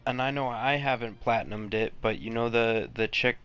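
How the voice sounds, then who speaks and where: A man's voice: mid-range voice, normal speed, a bit bright, smooth, lo-fi sound. A young man streaming a video game from home, chatty and casual, swearing, laughing and humming to himself. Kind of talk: casual live gameplay chat. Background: game music.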